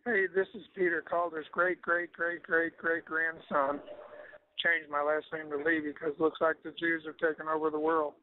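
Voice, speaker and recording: A man's voice: on the high side, little pitch movement, normal speed, a little hiss or hum. An older man leaving casual, sardonic voicemail messages, wisecracking and grumbling over a telephone. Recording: tinny phone-line voicemail recording.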